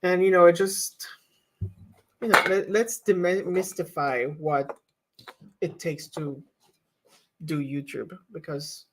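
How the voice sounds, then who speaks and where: A woman's voice: fairly low pitch, fairly even intonation, slow pace, pauses often, very noisy. Woman, French native speaker, casual vlog chat, candid advice, frequent restarts. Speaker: YouTuber teaching cloud infrastructure.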